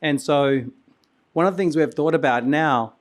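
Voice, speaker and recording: A hoarse man, losing his voice, hosting a conference and giving a loose, rambling keynote full of restarts and coughs. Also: a little high, moderate pace. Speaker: conference host and company founder. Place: conference venue.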